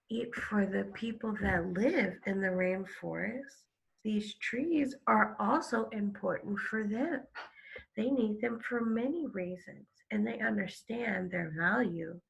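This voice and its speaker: A woman teacher reading a story aloud to children, expressive and stopping to explain it. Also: medium pitch, vocal fry, husky, lo-fi sound. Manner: expressive, explains to listeners with questions and gasps. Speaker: elementary school teacher.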